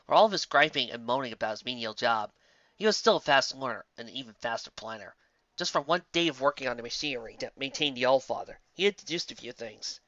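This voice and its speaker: A man's voice: mid-range voice, pushed and bright, no room sound, muffled recording. A man reading a fantasy story aloud as a dramatic, theatrical and emphatic narrator. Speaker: narrator of fan fiction audiobook.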